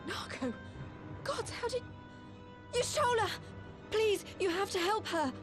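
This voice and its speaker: High-voiced, emotional young game heroine. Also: female voice, expressive, unhurried, over music. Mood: distraught. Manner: impassioned, exclamatory. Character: emotional, warm-hearted young woman fighter worried for friends. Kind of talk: video game cutscene acting.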